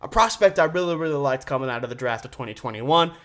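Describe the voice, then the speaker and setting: A man's voice: medium pitch, expressive, fast, fairly bright tone. A man in his twenties hosting a radio sports show solo, talking in an emphatic, informal, slangy way. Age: 20s. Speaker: sportscast host on campus radio. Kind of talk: sports talk monologue.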